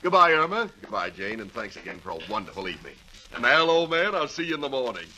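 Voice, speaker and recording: A man playing a polite, earnest, well-bred young gentleman in 1940s radio comedy, speaking in a genteel, hesitant way. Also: medium pitch, big pitch swings, fast, soft background music. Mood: delighted, gracious. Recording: noisy 1940s radio recording.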